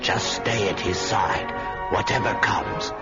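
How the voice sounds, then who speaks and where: An actor playing a solemn, weary old wizard with drawn-out, portentous theatrical delivery. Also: male voice, lowish voice, steady tone. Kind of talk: theatrical radio fantasy acting. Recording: dull lo-fi radio, very loud music.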